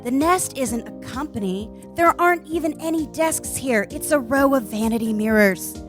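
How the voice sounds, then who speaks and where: A woman's voice: high voice, expressive, normal speed, over music. A woman playing a slick, smug startup chief with polished sales patter in a comic radio play. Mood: salesy. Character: slick, smug female startup CEO pitching her club.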